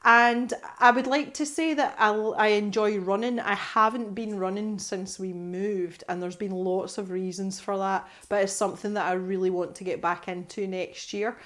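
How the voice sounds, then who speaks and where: A Scottish woman from the west coast, mid-range in pitch, chatting to her viewers in a relaxed vlog. She laughs often and trips into little restarts as she goes, with a homely, conversational manner. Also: moderate pace, few pauses. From west coast of Scotland. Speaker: art channel host. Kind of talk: casual chatty vlog answering questions.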